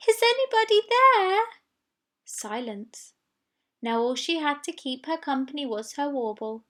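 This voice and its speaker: Slow, high-voiced woman storyteller. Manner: dramatic, with sound words. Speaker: story reader. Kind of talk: expressive children's storybook narration.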